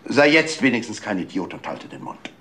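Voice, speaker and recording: A man as a curt, defensive older doctor, animated. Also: fairly low pitch, normal speed, slight background noise. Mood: commanding. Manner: curt, evasive, commanding. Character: defensive, domineering older father, a doctor under suspicion. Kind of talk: German radio crime drama acting.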